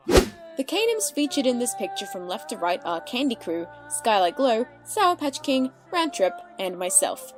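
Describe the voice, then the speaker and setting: Lively young Australian woman. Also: fairly high pitch, rough recording. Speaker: audio drama creator and narrator. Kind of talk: casual explanatory commentary.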